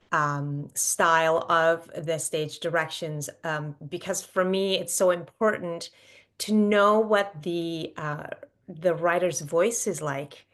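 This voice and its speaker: A woman's voice: deep voice, fairly bright tone. A woman who works as an actor, giving casual feedback in a group discussion. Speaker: actor and stage-direction narrator.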